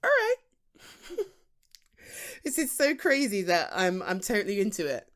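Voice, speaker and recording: A woman acting as a British female best friend in an intimate audio roleplay. She is playful, teasing and affectionate, with very animated pitch and an unhurried pace. Her delivery is natural and halting, with a giggly edge. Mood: giggly, excited. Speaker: ASMR roleplay voice performer.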